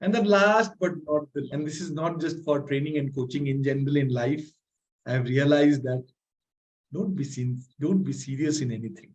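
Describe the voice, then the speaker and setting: Man, fairly high voice, energetic, motivational, keeps asking "right?", slightly strained voice. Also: normal speed, low-quality recording. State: voice strained from talking loudly. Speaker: leadership trainer hosting a masterclass. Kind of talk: live online masterclass with audience questions.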